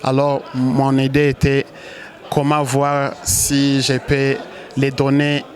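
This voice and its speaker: A man from Congo speaks as a football manager in an interview. He talks slowly in a flat, even voice, stopping often to restart his sentences. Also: mid-range voice. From Congo (DRC). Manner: halting, many restarts and hesitations. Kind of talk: interview answers.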